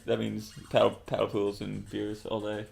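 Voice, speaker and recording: A man's voice: on the low side, completely flat delivery, moderate pace, creaky, a little mellow. A young Australian man chatting casually as a gaming co-host, with a laid-back, slangy way of talking. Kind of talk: casual chat.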